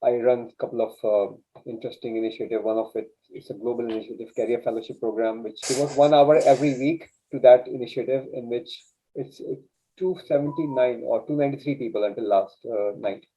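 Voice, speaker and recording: A man's voice: medium pitch, steady tone, a little fast, dark tone, slight background noise. A man whose first language is Urdu, a guest coach telling a story in an earnest, casual way with many restarts. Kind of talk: casual interview chat. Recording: dull lo-fi sound.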